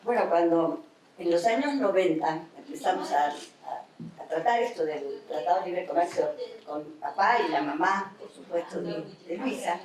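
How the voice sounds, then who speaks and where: A middle-aged woman with a Mexican accent, an academic panelist giving a measured, explanatory seminar talk with tag questions. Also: on the low side, a little mellow. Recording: very echoey hall from far off.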